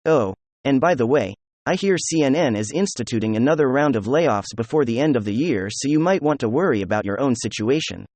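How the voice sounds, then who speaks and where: A man's voice: medium pitch, lively intonation, brisk, a little mellow, no echo. A man delivering opinionated news commentary in a sarcastic, mocking manner. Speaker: political commentator.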